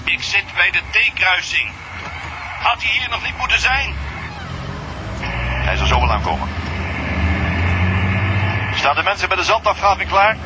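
A police officer runs a stakeout in an old radio thriller. He gives urgent, clipped orders in a high, lively voice over a noisy recording. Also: a little slow, long pauses. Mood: tense, controlled. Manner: clipped, instructing. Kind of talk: Dutch radio play acting.

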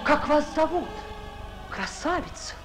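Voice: high voice, lively intonation, measured pace. A performer playing a young, lovestruck, melodramatic elf prince in a classic cartoon, sighing and swooning theatrically. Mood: smitten. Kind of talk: classic Soviet cartoon voice acting. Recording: old cartoon soundtrack with music.

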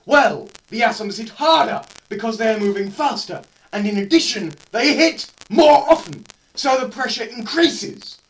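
A young man giving a dramatised lecture read aloud, theatrical and emphatic, with deliberate pauses, in a small room. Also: very high voice, lively intonation, poor audio. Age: teens to young adult. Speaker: presenter. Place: small bare room indoors. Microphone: camera a short distance away.

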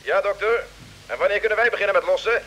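An actor playing a keen, dutiful spaceship pilot heard over a radio link, brisk and formal, in a vintage radio drama. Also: male voice, high voice, fairly bright tone, low-quality recording. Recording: old radio play, hissy radio sound.

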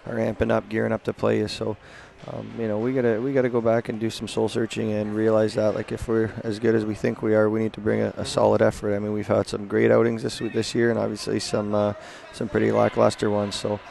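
A man in his thirties, a hockey coach giving a halting postgame interview at an indoor rink on a headset mic. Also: on the low side. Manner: flat, gravelly, halting with many restarts.

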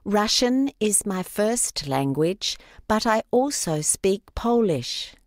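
A woman speaks a dialogue line for a language course, slowly and deliberately. Her pitch moves a lot from word to word. The recording is dry and close. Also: expressive, no room sound. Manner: clear, deliberate delivery. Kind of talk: slow scripted textbook audio.

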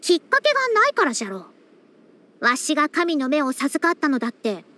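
High, proud young-sounding ancient scholar. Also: female voice, huge pitch swings, fairly quick, pushed and bright, exaggerated voice. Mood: haughty, defensive. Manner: archaic old-person phrasing, haughty, schoolmarmish. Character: youthful-sounding centenarian female scholar, proud and bossy. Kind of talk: scripted game character voice lines.

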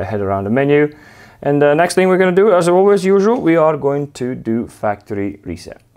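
Man with a lowish voice, halting tutorial. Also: darkish tone. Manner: halting, many fillers and restarts, plain explanatory tone. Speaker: technician demonstrating a drive. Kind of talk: hands-on tutorial. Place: workshop with wooden wall panel, indoors.

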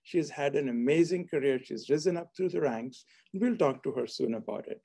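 A man's voice: a little high, lively intonation, fairly quick, dark tone. A middle-aged man hosting a formal online event from an office, introducing and interviewing a guest over a video call. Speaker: host, hospitality school dean. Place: book-lined office indoors. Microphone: video call, seated at computer.

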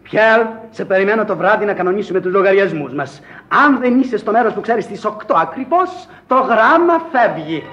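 A menacing blackmailer with a high male voice that swings sharply. He is curt and bossy, in old radio-theatre style on a dull recording. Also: animated, fairly bright tone, slight background noise. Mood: angry, bossy. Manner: curt, commanding. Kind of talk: classic Greek radio theatre acting.

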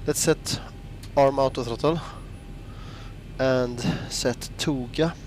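A man with a Swedish accent talking casually and haltingly while flying a flight simulator at home. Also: medium pitch, darkish tone, a little hiss or hum. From Sweden. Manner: halting, thinking aloud with restarts. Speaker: flight sim hobbyist. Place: home room with simulator cockpit.

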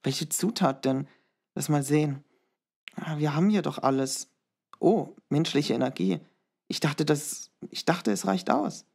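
An actor playing a level-headed young student who takes the lead in a German audio drama. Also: male voice, fairly high pitch, a little slow, creaky, a little mellow, breathy edge, exaggerated voice.

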